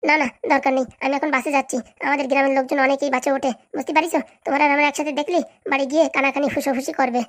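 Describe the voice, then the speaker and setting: A woman's voice: high-pitched, level tone, very fast, hardly any pauses, a bit bright. A woman voicing an evasive, reassuring young student boyfriend in a comedy cartoon, chatty and brushing off objections. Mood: brisk, exasperated. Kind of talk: Bangla comedy cartoon dialogue.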